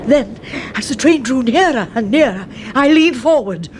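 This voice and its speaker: An actress with an English accent playing an obsessive, murderous secretary in a 1970s radio horror drama, intense and easily flustered. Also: female voice, sits high, expressive, moderate pace, a little mellow, a little hiss or hum. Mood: intense, confessional. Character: obsessive, murderous spinster secretary, timid before her boss.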